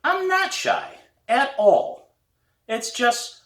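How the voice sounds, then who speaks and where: An earnest young girl in a storybook, put on by a man, pitched well up and bright. She sounds shy, hesitant and defensive, with the echo of a room around her. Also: big pitch swings, drawn-out words, full character voice.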